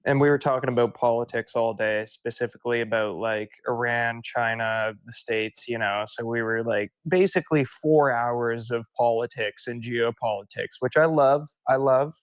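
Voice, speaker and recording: A man's voice: mid-range voice, normal speed, hardly any pauses, dry recording. A young man co-hosting a casual podcast, a little drunk, rambling and laughing as he talks. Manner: rambling, laughs often, tipsy. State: tipsy from beer. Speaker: podcast co-host. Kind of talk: casual chat.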